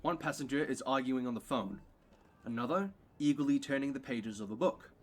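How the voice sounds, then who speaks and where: A man's voice: mid-range voice, brisk. A man reading scripted scene narration for an audio play.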